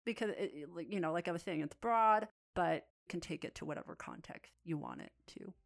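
A woman's voice: fairly low pitch, fairly quick, gravelly. A young woman co-hosting a podcast, talking in a thoughtful, agreeable way. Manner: thoughtful, agreeable, wraps up the show. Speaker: podcast co-host. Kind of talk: casual podcast chat.

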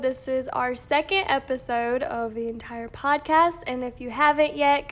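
A young woman in college co-hosting a faith podcast, chatting casually with a stuffy, nasal voice and frequent giggles. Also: sits high. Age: young adult. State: nasal, congested from sinuses. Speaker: podcast co-host.

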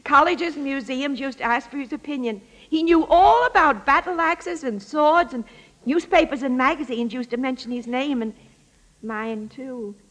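A woman's voice: high-pitched, normal speed, a bit bright, rough recording. A woman playing a proud, gossipy old landlady and faded showgirl in a radio drama, with folksy, rambling speech. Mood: wistful pride. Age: older.

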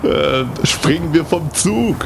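A man's voice: fairly high pitch, lively intonation, slow, gravelly, noisy background. A man improvising as an adventurer in a comic audio play, with playful, theatrical delivery.

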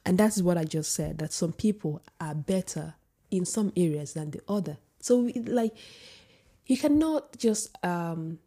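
A woman with a husky voice giving an opinionated vlog monologue, often restarting sentences and rising into emphatic outbursts. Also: fairly low pitch, animated, a little slow, warm tone. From Sweden. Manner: many restarts and filler words. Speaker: vlogger. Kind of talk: casual opinion monologue to camera.

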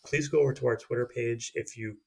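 A man's voice: medium pitch, fairly even intonation, a little fast, creaky, a little mellow, breathy edge. A man hosting a podcast, running through news in a conversational, informal way. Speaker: podcast host. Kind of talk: casual news rundown.

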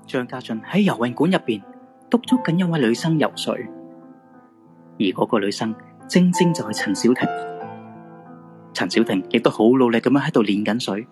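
A man narrates a radio ghost drama in Hong Kong Cantonese. His mid-pitched voice moves around in a lively, dramatic way. Soft music plays underneath. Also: normal speed, pauses often.

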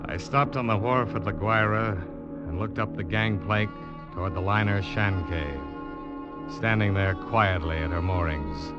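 A man's voice: low voice, loud music behind the voice. An American actor playing a tense, determined young adventurer, telling his tale dramatically in an old radio drama. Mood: brooding dread. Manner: dramatic, deliberate storytelling. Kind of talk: old-time radio drama acting, first-person narration. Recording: muffled old radio recording.

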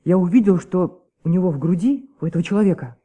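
A nervous young choirboy in a radio play. The voice is high and very soft-toned, earnest but halting, with stammers and repeated phrases as he gives his account. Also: expressive, breathy edge. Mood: anxious, earnest. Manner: hesitant, stammering, repeating himself. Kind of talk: Soviet radio play acting.

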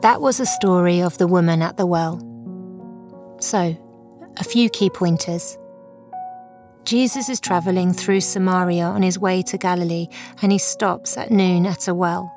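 A woman's voice: lowish voice, steady tone, a little mellow. Reverent woman speaking over music. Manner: measured, reverent, earnest. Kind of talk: scripted devotional commentary and prayer.

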